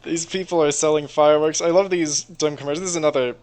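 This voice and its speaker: A man's voice: fairly high pitch, steady tone, fast talker, a little mellow, smooth. A man co-hosting a podcast in a casual, rambling, sweary chat, reacting to videos. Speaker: podcast host.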